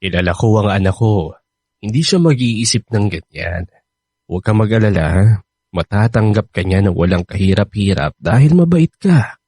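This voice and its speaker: A man's voice: on the low side, lively intonation, normal speed, a little mellow, breathy edge, no room sound, studio quality. An actor playing an affectionate, reassuring middle-aged husband and father with a Filipino accent in a radio drama. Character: doting wealthy 55-year-old widowed husband and father.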